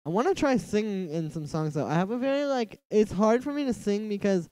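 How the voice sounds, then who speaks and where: A man's voice: high voice, lively intonation, brisk, a little mellow, clean tone, dry recording. A young man with a nasal voice, chatting casually and rambling on a live stream while he works on a music track. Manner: rambling, heavy use of "like". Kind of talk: casual livestream chat while producing. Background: faint music from the track being produced.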